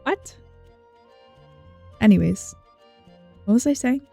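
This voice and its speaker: A woman's voice: a little high, animated, slow pace, gravelly, husky. A young woman giving casual, rambling, playful game commentary as she thinks aloud. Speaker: game streamer. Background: soft game music.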